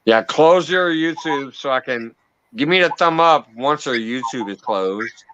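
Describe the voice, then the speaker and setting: A man's voice: medium pitch, expressive, measured pace, bright, forceful tone, slight background noise. A man hosting a casual livestream, laughing and coaxing guests to join the call. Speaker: livestream host. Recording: dull, lo-fi stream audio.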